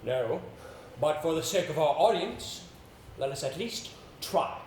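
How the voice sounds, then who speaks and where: A man's voice: a little high, lively intonation, unhurried, a little mellow. Man playing a showy, swooping theatrical coach, echoey stage. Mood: brisk, encouraging. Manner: pompous, emphatic, commanding, showman-like. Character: brisk theatrical male actor coaching a nervous client. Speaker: amateur stage actor. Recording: roomy, noisy live stage recording.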